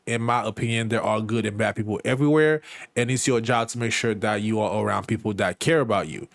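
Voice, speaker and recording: A man's voice: medium pitch, no echo. Man from Haiti, dry close commentary. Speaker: commentary channel narrator. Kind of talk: measured explanatory commentary.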